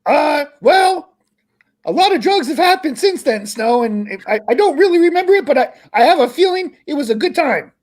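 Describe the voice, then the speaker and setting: Performer as frog puppet co-host, very high voice, sentimental, rambling, hard-living braggart. Improvised comedy stream. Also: animated, normal speed. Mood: joking, boastful. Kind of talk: improvised in-character livestream chat.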